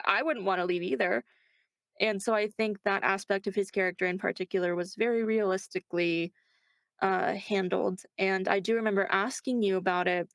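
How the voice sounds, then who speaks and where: A woman's voice: mid-range voice, no room sound. Female interview guest, mid-range voice, dry sound, casual slangy delivery with a joking streak. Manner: informal, slangy, jokey. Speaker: voice actor being interviewed. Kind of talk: casual chat.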